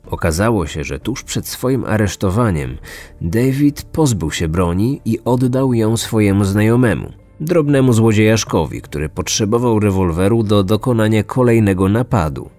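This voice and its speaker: A man narrates a true crime podcast in a lowish voice. He reads scripted documentary narration, and faint music plays behind him. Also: lively intonation, moderate pace, darkish tone.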